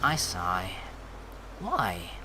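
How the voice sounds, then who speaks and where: A woman's voice: a bass voice, wildly swooping pitch, extremely slow, sharp tone, a little hiss or hum. A woman doing chatty let's play commentary, reading game lines aloud expressively. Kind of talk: casual gameplay commentary and script reading.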